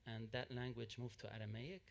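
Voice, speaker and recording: A man's voice: medium pitch, fairly even intonation, fairly quick, creaky. A man with a mid-range voice speaks up from the audience at a panel. He explains his point earnestly and steadily, correcting what was said before. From Lebanon. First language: Arabic. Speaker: audience member.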